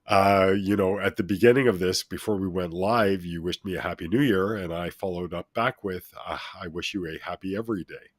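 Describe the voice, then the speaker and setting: A middle-aged or older man with a deep, authoritative voice, speaking as a motivational guest and addressing listeners in an emphatic, instructive way. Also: fairly quick, hardly any pauses, no echo, clean studio sound. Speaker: talk show guest, self-help speaker. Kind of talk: motivational interview talk, speaking at length.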